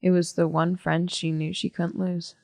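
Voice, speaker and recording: A blunt teenage loner girl, sardonic, American accent, lowish pitch, dry close sound, audio play. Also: little pitch movement, moderate pace, creaky, darkish tone, dry recording. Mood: blunt, troubled. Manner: blunt, sarcastic, casual. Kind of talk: audio drama testimony, naturalistic.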